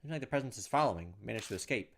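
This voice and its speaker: A man's voice: mid-range voice, lively intonation, racing, vocal fry, fairly bright tone, a little hiss or hum. A man playing a horror visual novel, reading its text aloud and thinking aloud with restarts, mildly exasperated at trial and error. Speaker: let's play host. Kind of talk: casual game commentary, reading text aloud.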